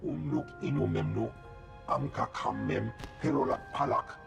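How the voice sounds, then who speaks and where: Gravelly, guttural alien creature. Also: male voice, fairly low pitch, normal speed, music in the background. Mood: gruff. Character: gruff alien creature speaking a guttural alien tongue.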